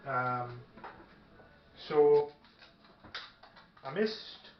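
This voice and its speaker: A man's voice: medium pitch, very slow. A man thinking aloud hesitantly as he chats casually while playing a tabletop game, recorded in a room. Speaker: tabletop war game player. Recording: muffled, echoey room recording with faint noise.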